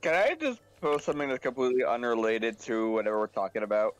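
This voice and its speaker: A man's voice: mid-range voice, fast. A man in his late twenties rambles cheerfully on a voice call. He laughs a lot and hops from one side topic to the next, his voice sitting in a middle register. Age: 20s. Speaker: co-commentator. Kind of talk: casual chat on a voice call.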